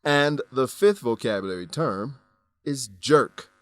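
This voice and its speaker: A man narrates a scripted English lesson in a deliberate, instructional way. His voice is mid-pitched, and the sound is very dry, with no room echo. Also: animated, fairly bright tone.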